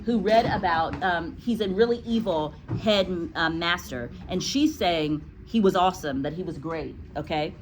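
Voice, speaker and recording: A woman's voice: lowish voice, normal speed, hardly any pauses, noisy background. A woman teacher reading a storybook aloud to a class, with explanatory asides.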